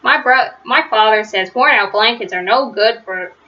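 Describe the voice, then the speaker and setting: A woman's voice: fairly high pitch, moderate pace, dull audio, close to an everyday voice. A woman voicing a young fox in a storybook, casual and matter-of-fact.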